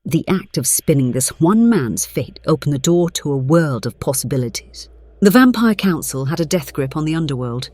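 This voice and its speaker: A woman playing a centuries-old, conflicted vampire, narrating gravely in a measured, theatrical way. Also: sits low, animated, a little mellow, no echo. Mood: brooding. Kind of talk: dramatic scripted narration. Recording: dramatic music behind the voice.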